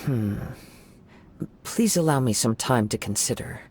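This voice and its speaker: A man voicing a calm, reflective young wandering samurai who speaks in a measured, formal and courteous way, in a game cutscene. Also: medium pitch, a little hiss or hum, lightly acted. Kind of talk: video game cutscene dialogue.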